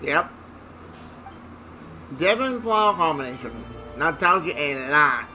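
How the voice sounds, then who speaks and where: Man, let's-play commentary, very slow pace, lively pitch, informal, blunt, short interjections. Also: faint music behind, poor audio. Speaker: gamer playing and reacting.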